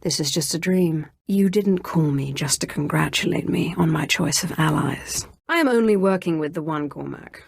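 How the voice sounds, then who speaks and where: An imperious Sith sorceress for a video game. Her voice sits fairly low and is recorded close and dry. She speaks with deliberate, haughty theatricality and cool, cunning menace. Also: vocal fry, breathy edge, no room sound, heavily acted. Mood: cool, commanding.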